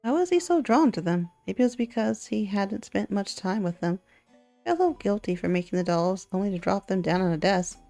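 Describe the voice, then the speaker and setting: Bright-voiced woman narrating a game. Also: medium pitch, fairly quick, dry recording, faint music behind. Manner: expressive read-aloud with chatty asides. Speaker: gaming video creator reading a visual novel aloud. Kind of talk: playthrough narration with casual commentary.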